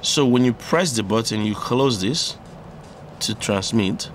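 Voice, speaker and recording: A man presenting an unscripted electronics tutorial, explaining step by step in a halting way. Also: on the low side, expressive. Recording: clearly audible background noise.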